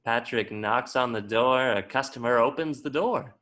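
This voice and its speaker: A man's voice: mid-range voice, moderate pace, a bit bright. A man reading scripted stage directions as the narrator of a table read.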